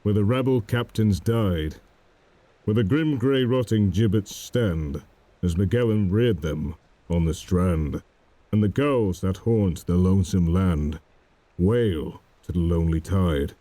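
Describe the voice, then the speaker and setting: A man narrating a dramatic poem in a solemn, measured manner. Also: low-pitched, mellow, no echo. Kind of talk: dramatic poetry recitation.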